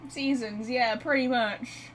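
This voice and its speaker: Female game streamer: fairly high voice, rambling and blunt casual commentary, excitable, slight background noise. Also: slow, poor audio. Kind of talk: casual live reaction commentary.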